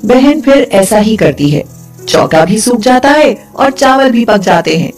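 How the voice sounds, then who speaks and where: A woman's voice: on the high side, expressive, measured pace, a little mellow, faint music behind. A woman narrating a scripted folk tale as a storyteller.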